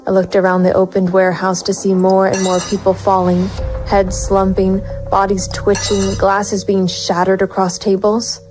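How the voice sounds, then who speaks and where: A woman's voice: medium pitch, monotone, moderate pace, music in the background, lightly acted, rough recording. A woman playing a wary, bitter private investigator, narrating a noir audio drama in the first person. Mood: alarmed.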